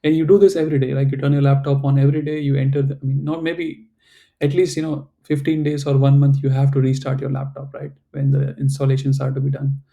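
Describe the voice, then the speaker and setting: A male teacher explaining grammar over a video call, with a gravelly, very dark voice that stays flat and even. He talks patiently and leans on "you know" and "right" as he goes. English is not his mother tongue. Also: medium pitch, quick pace, dull audio. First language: not English or German. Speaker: German language teacher. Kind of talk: online class instruction.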